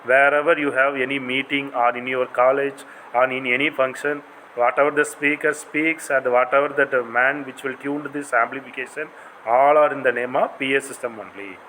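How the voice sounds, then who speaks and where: A man's voice: medium pitch, steady tone, normal speed, sharp tone, slight background noise, poor audio. A man with an Indian accent giving a didactic, explanatory lecture as a college teacher, in non-native English phrasing.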